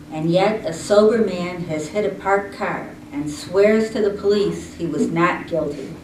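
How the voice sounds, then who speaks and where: Slow woman reading aloud in an echoey room. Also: fairly low pitch. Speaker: reading group participant. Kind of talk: slow poetry read-aloud. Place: indoor room at a senior center. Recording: echoey room, distant mic, faint noise.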